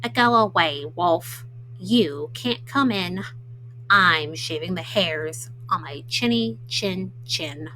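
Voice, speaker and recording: Woman as low, sharp-toned grumpy storybook pig. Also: animated, slow pace, a little hiss or hum, full character voice, low-quality recording. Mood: dismissive. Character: grumpy male pig refusing visitors, storybook.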